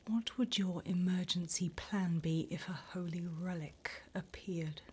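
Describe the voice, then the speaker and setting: Slow whispering demon interviewer played by a woman. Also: on the low side, darkish tone. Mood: sly, businesslike. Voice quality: breathy whisper. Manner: slow, deliberate, mock-formal. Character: polite, devilish female demon job interviewer in hell. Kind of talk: whispered ASMR roleplay.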